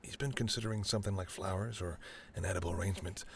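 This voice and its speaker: Man playing a low-voiced, flirtatious mafia boss. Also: steady tone, quick pace, slight background noise. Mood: apologetic, chatty. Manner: smooth-talking, playful, flirtatious, addresses listener as doll. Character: charming, teasing, apologetic mafia boss smitten with a librarian. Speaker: voice actor in roleplay audio. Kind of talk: intimate second-person roleplay audio acting.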